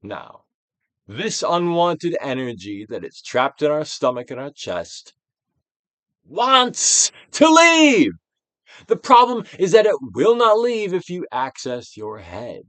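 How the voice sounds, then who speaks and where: A man giving a dramatic, deliberate reading of a self-help book as a guided exercise, with pauses and big swells. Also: a little high, very animated, a bit bright, no room sound. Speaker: audiobook reader and actor.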